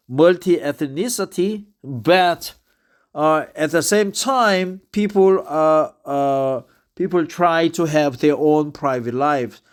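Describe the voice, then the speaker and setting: A tipsy man in his fifties with a Korean accent talks in slow, halting English full of fillers. His voice sits a little high for a man, and he keeps stopping to restart his sentences. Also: clear, resonant tone. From South Korea. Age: 50s. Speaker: office worker practicing English. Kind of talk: casual monologue, reading aloud.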